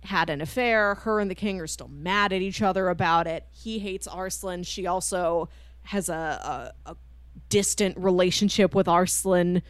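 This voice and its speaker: A woman's voice: lowish voice, moderate pace, clean tone, no room sound. A woman co-hosting a casual discussion, retelling a story in a chatty way and acting out the characters' lines. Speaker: co-host of a manga discussion show. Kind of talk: casual book discussion with spoilers.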